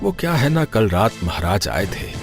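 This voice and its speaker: An honest, humble brahmin from a cartoon tale, voiced by a man. He speaks deferentially, often hesitating, in a lowish, expressive voice over background music. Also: moderate pace. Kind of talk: cartoon voice acting.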